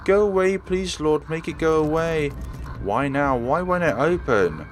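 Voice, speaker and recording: A man's voice: on the high side, lively intonation, unhurried, faint music behind, voice pushed up. A man voicing a terrified young woman trapped in a haunted mansion in a horror game, pleading in panic. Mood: panicked pleading.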